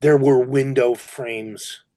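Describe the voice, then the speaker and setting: A man's voice: mid-range voice, steady tone, slow pace, mellow, dry recording, studio quality. A man co-hosting a casual podcast, talking with loose enthusiasm. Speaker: podcast co-host.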